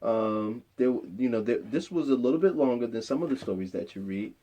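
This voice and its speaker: A man's voice: medium pitch, a little fast, mellow. A man chatting casually with a child as a father and host, asking simple questions in an encouraging way. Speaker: father interviewing his child on a storytime show.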